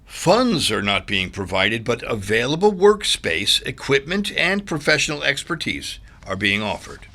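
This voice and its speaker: Male news anchor, scripted read, measured and expressive, pitch moving a lot.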